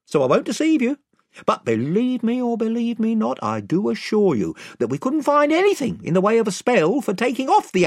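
A pompous storybook chief, played by a male reader, folksy and long-winded. His delivery is very animated, rising and falling as he rambles on. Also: sits high, breathy edge, no echo, studio quality, voice pushed up, heavily acted.

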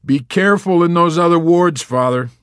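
A man voicing a brash, excitable wartime airman in an audiobook, exclamatory and emphatic. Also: medium pitch, unhurried, no echo, clean studio sound, voice pushed up. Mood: grim warning.

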